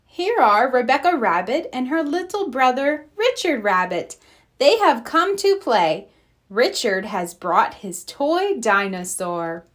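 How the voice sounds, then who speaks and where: Slow, lively woman reading a children's story. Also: sits high. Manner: expressive, clearly paced for young children. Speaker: read-aloud storyteller. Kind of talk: scripted children's storybook narration.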